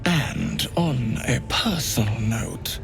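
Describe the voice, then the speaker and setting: An unctuous, sadistic zealot priest in a fantasy game. He savours every word with breathy reverence, very slowly, swinging widely in pitch, with music behind him. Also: animated. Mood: tender, gratified. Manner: breathy reverent crooning, theatrical, savouring words. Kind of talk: dramatic game character acting.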